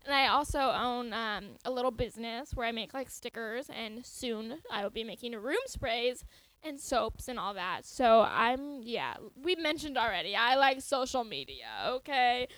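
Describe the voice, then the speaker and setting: Bubbly high-voiced young woman. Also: expressive, normal speed, a bit bright, no room sound, rough recording. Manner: bubbly, giggly, lots of "like". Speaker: podcast co-host. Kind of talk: casual chat.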